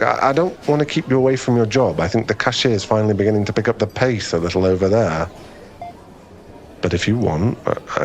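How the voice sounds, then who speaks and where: Low-voiced, bashful, flustered young man, acted by a man. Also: natural voice. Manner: hesitant, stammering, earnest, bashful. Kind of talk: ASMR boyfriend roleplay audio drama.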